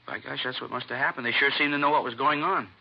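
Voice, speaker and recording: An actor playing a rattled male witness in a vintage radio drama, talking in a folksy, hesitant way with a gravelly voice. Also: mid-range voice, fairly even intonation, quick pace. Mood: shaken. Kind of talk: 1950s radio drama acting. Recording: dull vintage radio broadcast.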